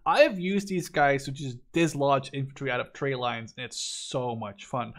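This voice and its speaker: A man's voice: mid-range voice, normal speed, fairly bright tone. A man in his twenties from the Netherlands giving casual, opinionated game review commentary in informal language with some swearing. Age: 20s. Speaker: gaming video host.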